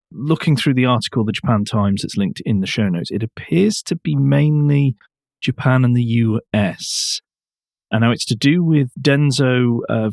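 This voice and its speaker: A man's voice: mid-range voice, creaky, a little mellow, dry recording, studio quality. A man co-hosting a car news podcast in a conversational way, with a wry, blunt manner. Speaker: podcast co-host.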